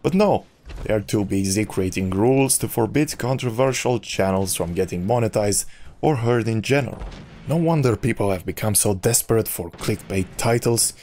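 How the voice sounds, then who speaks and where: A man's voice: on the low side, normal speed, a little mellow, a little hiss or hum. A man speaking candidly and with opinions, in a personal vlog monologue to his subscribers as a YouTuber. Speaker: YouTuber who makes game videos.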